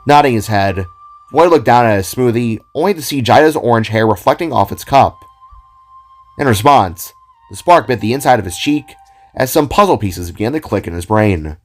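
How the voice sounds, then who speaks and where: Male narrator, lively and expressive scripted storytelling, medium pitch, faint music bed. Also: normal speed. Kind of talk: scripted audio drama narration. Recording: music behind the voice.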